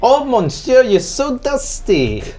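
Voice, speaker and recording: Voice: medium pitch, big pitch swings, takes it slowly, a crowd in the background, pitched above the performer's own voice. A game master voicing a flirtatious French maid in an improvised roleplay. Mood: flirty. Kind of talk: improvised tabletop roleplay acting.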